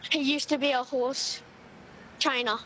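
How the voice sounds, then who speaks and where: A woman's voice: high-pitched, level tone, unhurried, bright, forceful tone, slight background noise, low-quality recording. An Australian woman phoning in to a radio quiz, giving short plain answers. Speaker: caller.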